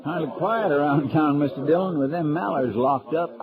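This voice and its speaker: A folksy frontier sidekick, played by a man with a rural American drawl and a fairly high voice. He is polite and fussing, heard on a dull old radio recording with crowd murmur. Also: moderate pace, close to an everyday voice. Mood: easygoing. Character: deferential, fretful, folksy male marshal's helper. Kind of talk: old-time radio western acting.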